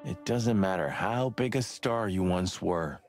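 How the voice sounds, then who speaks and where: An actor playing a world-weary, sardonic bird detective in a film-noir game, with a gravelly voice and dry delivery. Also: male voice, deep voice, lively intonation, a little slow, music in the background. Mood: bitter, brooding. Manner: cynical, dry.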